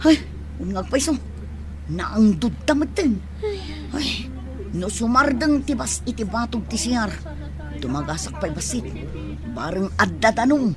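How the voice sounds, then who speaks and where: A woman's voice: on the high side, lively intonation, measured pace, long pauses, over music. A woman playing a vain, grumbling traveller in a radio comedy drama, with an Ilocano accent.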